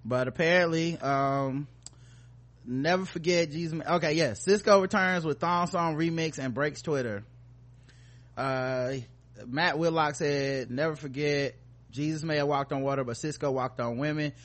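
A man's voice: on the high side, moderate pace, a bit bright. A man hosting a podcast with casual comedic commentary, joking in slangy, profane language. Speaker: podcast host.

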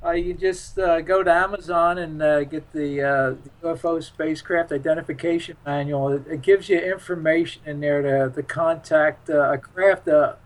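Higher-voiced man, halting casual talker. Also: fairly even intonation. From United States. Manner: halting, searching for words. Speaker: interview guest and author. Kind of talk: casual unscripted interview chat.

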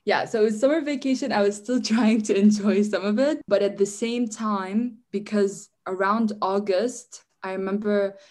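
A woman's voice: medium pitch, normal speed, hardly any pauses, a little mellow. A young woman from Syria, a guest in a casual interview, chatty and rambling with lots of "like" and frequent restarts. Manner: chatty, restarts often, says "like" a lot.

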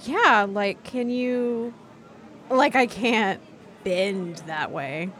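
A woman playing a nosy, scandalized teenage camper in an audio drama, talking casually and haltingly in disbelief. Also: on the high side, fairly bright tone. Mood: incredulous. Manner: incredulous, halting casual teen talk. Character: nosy, scandalized teenage girl at summer camp. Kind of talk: naturalistic audio drama dialogue.

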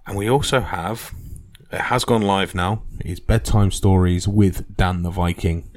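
A man's voice: low-pitched, fairly even intonation, measured pace, darkish tone. A man hosting a history podcast, talking casually and earnestly with plenty of fillers and restarts. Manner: rambling, earnest, many fillers.

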